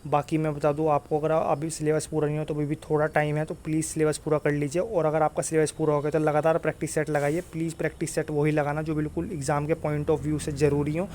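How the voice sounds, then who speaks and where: A man's voice: a little high, monotone, quick pace, hardly any pauses, darkish tone, slight background noise. A man giving an informal exam update to students in an insistent, repetitive way. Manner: repetitive, insistent, often tags phrases with "okay". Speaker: exam-news YouTuber and coach.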